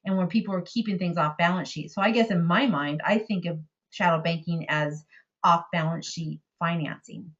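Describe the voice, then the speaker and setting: A woman's voice: on the low side, moderate pace, fairly bright tone. A woman hosting a conference call, asking questions and checking her understanding. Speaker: host and interviewer. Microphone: telephone. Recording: dull phone-line sound.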